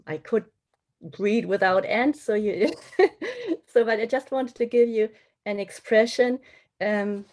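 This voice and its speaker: A woman's voice: medium pitch, a little mellow. A woman guest on a podcast, talking reflectively and searching for her words as she goes. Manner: thoughtful, searching for words, restarts phrases.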